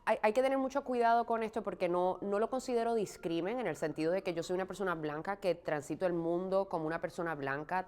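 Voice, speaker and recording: Low-voiced Puerto Rican-raised woman, thoughtful. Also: darkish tone, smooth. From Puerto Rico. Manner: thoughtful, frequent restarts and "eh" fillers. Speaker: actress, interview guest. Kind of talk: conversational interview answers.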